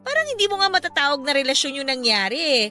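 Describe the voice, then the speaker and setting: A woman's voice: high-pitched, sing-song, fast, pushed and bright, faint music behind, exaggerated voice. An actress playing a breezy, frank young woman talking about her past in a radio drama. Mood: casual, candid.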